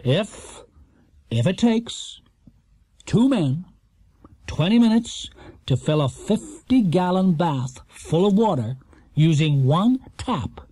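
A man's voice: a little high, warm tone, slightly rough, no room sound, heavily acted. Man putting on a smug, showy quiz show host. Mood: solemn. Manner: self-satisfied, drawn-out showman delivery. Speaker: master of ceremonies.

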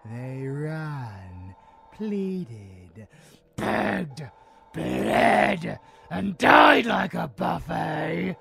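A man's voice: fairly high pitch, very animated, very slow, creaky, bright, forceful tone, heavily acted. A British man playing a sadistic, taunting monster supervillain in an audio roleplay, savouring words in a sing-song manner. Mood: gleeful mocking. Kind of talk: audio roleplay villain acting.

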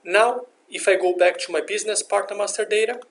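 A man narrating a software demonstration in a step-by-step, instructional way. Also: high voice, a little fast. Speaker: software demo presenter. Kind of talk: scripted software tutorial narration. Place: screen recording voice-over.

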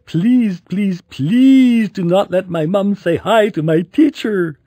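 A man's voice: high-pitched, slow, warm tone, no echo, pitched above the performer's own voice. A man voicing an embarrassed young boy in a storybook, whiny, strained and exaggerated in his protests. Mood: pleading.

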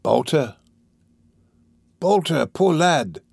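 A man voicing a sly, sinister old gang boss in a Victorian storybook, drawing out words with slow, insinuating emphasis. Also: mid-range voice, no room sound. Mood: menacing, slow. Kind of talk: dramatised audiobook of a Victorian novel.

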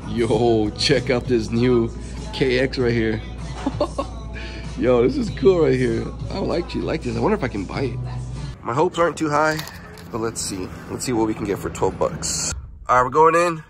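A man's voice: medium pitch, expressive, normal speed, over music. A young man vlogging from inside his truck in a car wash, talking to viewers with nervous yelps and laughs on a handheld phone. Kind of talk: casual vlog commentary. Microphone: handheld phone camera.

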